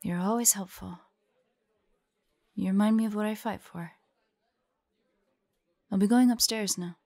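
A woman playing a weary, protective demon hunter in an audio drama, gentle yet resolute, with a measured, solemn delivery. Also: medium pitch, dry recording. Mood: gentle, tired.

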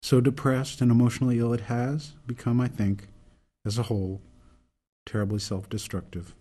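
A man acting in a radio drama as an earnest older reformer, delivering a deliberate, solemn, speechifying monologue. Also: medium pitch, little pitch movement, mellow, lightly acted. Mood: grave, urgent. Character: earnest older male reformer laying out a grave case.

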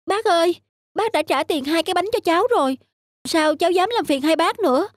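A woman's voice: extremely high pitch, fairly quick, dry recording, studio quality. A woman voicing a poor young boy in a cartoon, polite, timid and hesitant. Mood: grateful, shy. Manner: hesitant, respectful. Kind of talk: cartoon voice acting.

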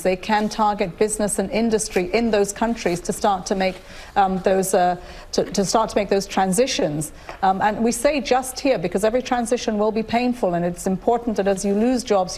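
A middle-aged woman from Nigeria answering questions in a live TV interview, earnest and measured, with frequent fillers and restarts. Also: mid-range voice, a little mellow. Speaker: guest, UN official. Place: studio, indoors.

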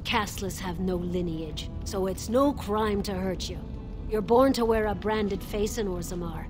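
A woman plays a casteless dwarf beggar, crippled and worn down by hard streets. She speaks bluntly, with salty curses and a wry edge, at an even middle pitch. Game music plays under her. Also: unhurried, natural voice. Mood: bitter.

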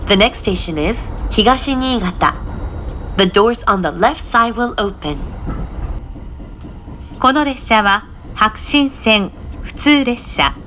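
A woman announcer reading formal, measured, scripted station announcements over a train's speakers. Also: on the high side, animated, a bit bright. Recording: train announcement with clear rail noise. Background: train running noise.

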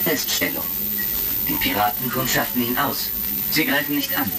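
Man voicing a gravelly analytical combat robot. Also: mid-range voice, moderate pace, background noise, lightly acted. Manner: announces each remark's tone in clipped phrases. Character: big red combat robot, analytical loyal companion. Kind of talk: retro sci-fi audio drama acting.

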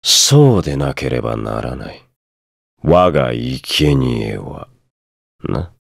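A man's voice: a bass voice, expressive, creaky, a little hiss or hum, heavily acted. A haughty, menacing nobleman. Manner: haughty, slow and sneering. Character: cold, menacing aristocratic male prosecutor. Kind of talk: game voice clip. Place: studio.

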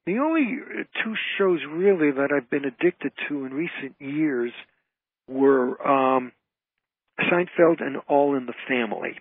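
A man's voice: mid-range voice, expressive, measured pace, breathy edge, no room sound. A man chatting casually as a guest on a radio interview, speaking over a phone line. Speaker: radio show guest, entertainer and trivia author. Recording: tinny, band-limited phone line.

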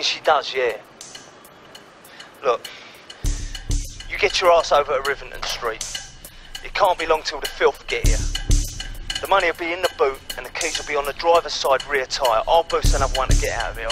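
An actor plays a hard-boiled man from the London underworld in a game cutscene. His London-accented delivery is terse, bitter and defiant at a middling pitch, with music clearly behind him. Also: brisk, long pauses, lightly acted. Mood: urgent, keyed up. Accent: London English. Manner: terse, gritty, foul-mouthed. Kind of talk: video game cutscene acting.

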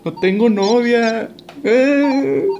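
A man's voice: very high voice, animated, slow, a little mellow, dull audio. A man chatting casually and jokingly in slang, switching languages, as host of a prank chat. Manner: joking, slangy, switches between English and Spanish. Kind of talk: casual prank chat over a walkie-talkie app. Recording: noisy amateur home recording.

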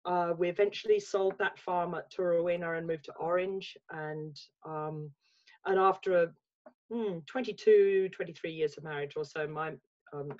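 A woman's voice: lowish voice, steady tone, moderate pace, a little mellow, dull audio. A woman in her sixties with an English accent, talking reflectively as a guest on a video call from her home, with many restarts. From England. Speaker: guest, life coach. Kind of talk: casual video-call interview. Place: home room with bookshelves. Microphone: laptop webcam mic on video call.

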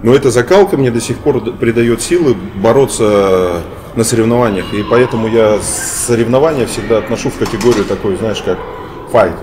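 A man answering interview questions on a sofa in a big indoor hall, speaking in measured phrases with many hesitant fillers. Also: lowish voice. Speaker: interview guest. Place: large bright indoor hall, seated on a sofa. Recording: noisy room ambience.

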